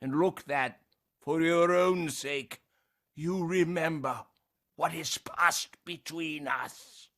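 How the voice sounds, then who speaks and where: A tormented ghost in a theatrical radio play. The voice is high and piercingly bright, with slow, solemn declamation. It is mournful and full of grave warning. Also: male voice. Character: mournful ghost of dead miserly business partner, warning and anguished.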